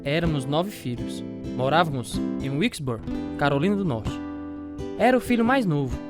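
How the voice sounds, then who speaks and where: A man's voice: on the high side, big pitch swings, moderate pace. A man playing an earnest former boxer who tells his life story in a radio drama. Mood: reflective. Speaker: actor narrating a radio drama. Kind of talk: first-person dramatised narration. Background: guitar music.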